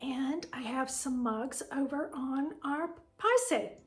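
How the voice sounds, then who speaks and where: A middle-aged woman with a voice made raspy by a sinus cold, chatting fondly and rambling as she shows her collection in her home kitchen. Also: high-pitched, takes it slowly. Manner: chatty, fond, meandering. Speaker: vlog host. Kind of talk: casual home tour chat.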